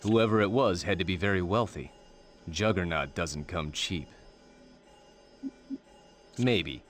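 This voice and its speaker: An actor playing a calm, practical heroic ally in a video game, speaking in a measured, matter-of-fact way with dry good nature. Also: male voice, low voice, soft background music. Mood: thoughtful. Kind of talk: video game cutscene acting.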